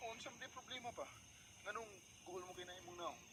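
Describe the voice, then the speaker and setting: A man's voice: high voice, brisk, heavy background noise, lightly acted. A man playing a humble, anxious young construction worker in a radio drama, with a Cebuano Filipino accent and a deferential, plain way of talking. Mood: worried. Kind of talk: Philippine radio drama acting.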